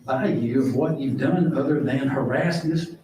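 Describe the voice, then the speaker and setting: A man delivers formal, stern remarks in a courtroom. His voice is medium in pitch and deliberate. The room echoes heavily and the microphone is at a distance. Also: mellow, slight background noise.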